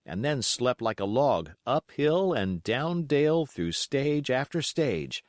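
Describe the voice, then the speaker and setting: A man reading a classic adventure novel aloud as an audiobook, in an expressive, measured storytelling delivery. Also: mid-range voice, no echo, studio quality. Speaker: audiobook reader.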